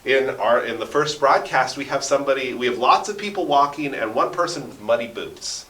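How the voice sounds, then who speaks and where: A man's voice: mid-range voice, brisk, fairly bright tone. A man talking casually as an interview guest, explaining things and often checking in with "right?". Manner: explanatory, punctuates points with "right?". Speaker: interview guest, radio drama writer and sound effects performer. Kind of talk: casual animated interview talk. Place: radio station studio. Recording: roomy studio echo, distant mic.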